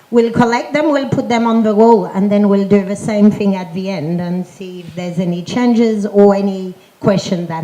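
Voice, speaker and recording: A woman organiser giving instructions to an audience, halting and repeating words. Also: medium pitch, a little mellow, poor audio. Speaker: workshop organiser.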